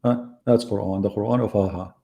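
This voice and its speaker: Gravelly, mocking man on a live debate. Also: lowish voice, fairly even intonation, a little fast, warm tone. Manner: mocking, sarcastic rhetorical questions. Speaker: debate host. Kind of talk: live online debate monologue.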